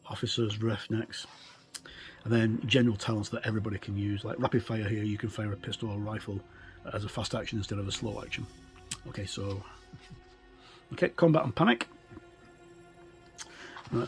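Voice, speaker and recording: A middle-aged man giving an informal explanatory walkthrough as a gaming reviewer, halting as he thinks aloud. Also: fairly low pitch, darkish tone.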